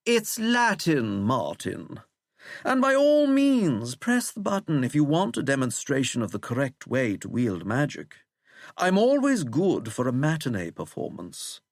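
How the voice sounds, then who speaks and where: A man's voice: mid-range voice, huge pitch swings, measured pace, no echo, studio quality, voice pushed up, full character voice. A man voicing a suave, menacing English wizard in an audiobook, with a plummy accent and a languid, mocking delivery. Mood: dryly superior. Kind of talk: audiobook character acting.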